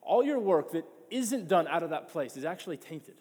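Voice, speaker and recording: Impassioned pastor with hall echo. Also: male voice, on the high side, sing-song, normal speed, a little mellow, slightly rough. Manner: passionate, emphatic, repeats words as he builds. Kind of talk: sermon.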